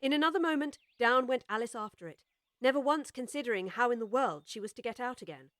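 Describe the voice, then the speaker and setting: High-voiced woman narrating a storybook. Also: lively intonation, fast talker, a bit bright, no echo, clean studio sound. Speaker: audiobook narrator.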